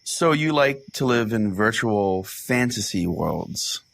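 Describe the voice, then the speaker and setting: A man's voice: fairly low pitch, animated, takes it slowly, creaky, fairly bright tone, no room sound, exaggerated voice. A man playing an earnest, smug pastor who hosts a comedic radio show, with a theatrical matter-of-fact delivery. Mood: smug debating. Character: earnest, easily scandalized male pastor hosting a radio show. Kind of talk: comedic mock talk radio hosting.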